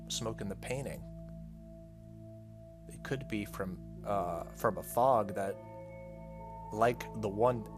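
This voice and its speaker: Guy w a lowish voice doing a playthrough, reads the text then chats casually, music going in the background. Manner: reads dialogue aloud, breaking off into casual asides. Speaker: gaming video host. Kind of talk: playthrough commentary mixed with reading game text aloud. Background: game music behind the voice.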